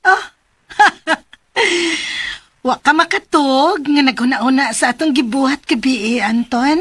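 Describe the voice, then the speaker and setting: A high, slow, coy flirt. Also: female voice, fairly bright tone, breathy edge, dry recording, exaggerated voice. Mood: flirty. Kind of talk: radio drama acting.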